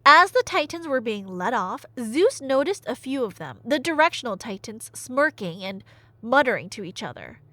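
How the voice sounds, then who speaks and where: Animated, high-voiced woman telling stories casually. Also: moderate pace, dry recording. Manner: animated, conversational, wry asides and rhetorical questions. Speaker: audio roleplay storyteller, playing a nerdy girlfriend. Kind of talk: casual, rambling storytelling in an ASMR roleplay.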